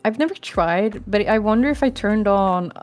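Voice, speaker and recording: A woman's voice: medium pitch, expressive, normal speed, soft background music. A young woman with a Swedish accent chatting casually and frankly, sometimes swearing. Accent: Swedish-accented English. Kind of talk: casual chat.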